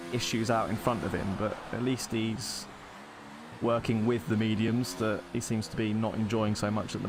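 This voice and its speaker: A young man commentating an online racing league in a relaxed, chatty, self-deprecating way. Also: on the low side, noisy background.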